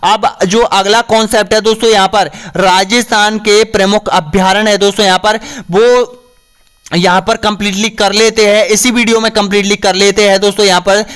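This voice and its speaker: High, clear-voiced hoarse male teacher. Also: level tone, moderate pace, a bit bright. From Rajasthan, India. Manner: repeats "dosto yahan par", asks then answers. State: slightly hoarse. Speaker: exam-prep teacher. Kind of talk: online quiz lesson.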